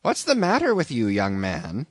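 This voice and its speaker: A man voicing a bustling middle-aged landlady who scolds and frets in a shrill, exclamatory way. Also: wildly swooping pitch, normal speed, no echo, studio quality. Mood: scolding.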